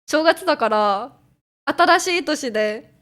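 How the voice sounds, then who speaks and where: High-voiced, giggly young Japanese woman. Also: animated, normal speed, a bit bright. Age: 20s. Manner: giggly, rambling, self-deprecating. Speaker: livestreamer. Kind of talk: casual chat with livestream viewers.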